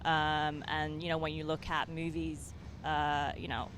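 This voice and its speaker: Soft-spoken English woman, hesitant. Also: low voice, little pitch movement, measured pace, noisy background. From England. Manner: hesitant, many fillers and restarts. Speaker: film director. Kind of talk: interview answers.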